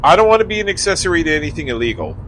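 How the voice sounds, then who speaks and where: An actor in a comic radio play playing a reluctant young sidekick who complains in a whiny, sarcastic, exasperated way. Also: male voice, fairly high pitch, big pitch swings, very fast, a bit bright, a little hiss or hum.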